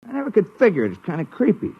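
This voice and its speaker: A man's voice: sits high, wildly swooping pitch, very fast, husky, full character voice. An actor playing an uneasy, plain-spoken male spaceship crewman with colloquial speech, in 1950s radio drama style. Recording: muffled 1950s radio recording.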